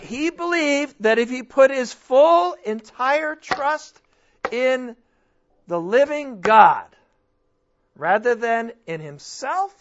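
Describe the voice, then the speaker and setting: A man preaching a sermon with a strained voice, nearly worn out, asking the congregation rhetorical questions and swinging between loud and soft. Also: very high voice, lo-fi sound. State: strained, almost losing his voice. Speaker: pastor.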